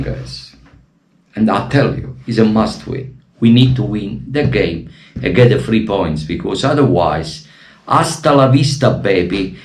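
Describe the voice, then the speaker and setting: Passionate Italian man, lively slow delivery. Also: mid-range voice, a little hiss or hum. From Italy. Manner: emotional, passionate, bursts into shouted appeals. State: losing his voice. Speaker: football fan vlogger. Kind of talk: impassioned fan commentary to camera.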